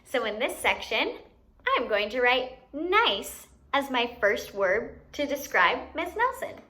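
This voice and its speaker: Kids' story-time host, female, bright high voice, playful, guiding young children step by step with questions. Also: sing-song, takes it slowly. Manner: enunciates for young children, asking them questions. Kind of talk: children's educational activity, instructing.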